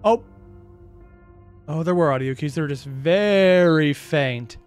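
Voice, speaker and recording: A man's voice: on the high side, slow pace, a little mellow, clean tone, faint music behind. A man hosting a reaction video with casual, rambling commentary, full of slang and wry asides to viewers.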